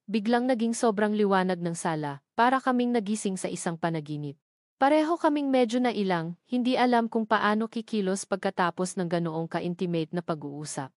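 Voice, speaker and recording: A woman with a Filipino accent narrates a dramatic first-person love story in a sentimental, measured way, phrase by phrase. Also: mid-range voice, a little mellow, no room sound, clean studio sound.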